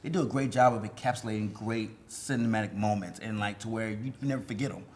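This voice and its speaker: A man's voice: on the low side, quick pace. A man co-hosting a podcast and discussing films in a casual, conversational way. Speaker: podcast co-host reviewing films.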